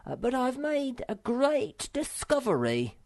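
High little boyish creature, timid, self-important, earnest and plaintive, creaky edge, storybook character. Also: huge pitch swings, slow pace, darkish tone, no echo, full character voice. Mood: proud. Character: Sniff, small timid self-important young male creature.

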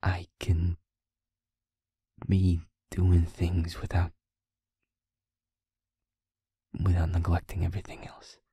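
A man playing a feisty, wounded young werewolf boyfriend in an intimate audio roleplay, breathy and husky, speaking haltingly with heavy pauses. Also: sits low, completely flat delivery, warm tone, no room sound, full character voice. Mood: tired, tender. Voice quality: breathy, husky, often half-whispered.

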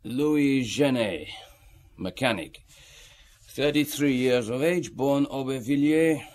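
An actor in a radio drama playing a stolid police inspector with a deliberate, official manner. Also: male voice, medium pitch, darkish tone, close to an everyday voice. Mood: matter-of-fact.

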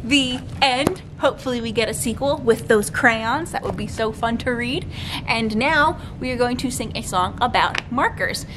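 A young woman hosting a storytime, reading aloud to children in an expressive, sing-song way. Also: moderate pace. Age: young adult. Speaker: children's librarian, storytime host. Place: library room with bookshelves. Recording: clearly noisy room recording, low rumble.

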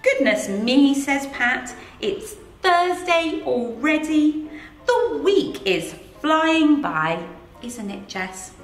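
A middle-aged woman with an English accent reading a children's picture book aloud in an animated, sing-song way, asking the children questions. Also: high voice, very slow. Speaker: head of early years, reading aloud to children. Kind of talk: children's storybook read-aloud. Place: in front of a green screen with book pages. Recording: echoey room, faint music behind.

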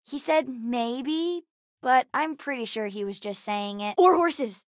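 A young girl from a space adventure game, her voice high and small. She is bookish and endlessly curious, chatting earnestly like a child among grown-ups. The sound is close and dry, with no room around it. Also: slow pace, dry recording. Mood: chatty, curious. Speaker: video game voice actress. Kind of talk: video game character dialogue.

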